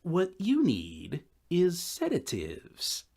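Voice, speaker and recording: A man's voice: high-pitched, huge pitch swings, extremely slow, creaky, a little mellow, slightly rough, pitched above the performer's own voice, heavily acted. A man voicing a laid-back, dismissive young college druggie in a narrated story, drawling and offhand.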